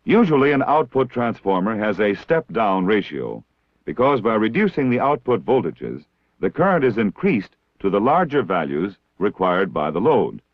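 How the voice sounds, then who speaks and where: An American man reading scripted instructional narration in a measured, formal, didactic way, like a 1960s military training film. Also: lowish voice, big pitch swings, no room sound. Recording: dull, lo-fi 1960s film soundtrack.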